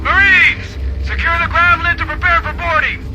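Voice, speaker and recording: A man's voice: very high-pitched, steady tone, moderate pace, low-quality recording. A man playing an urgent marine squad leader in a video game, calling out military orders. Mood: urgent, commanding. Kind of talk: video game character acting. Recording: loud game music behind.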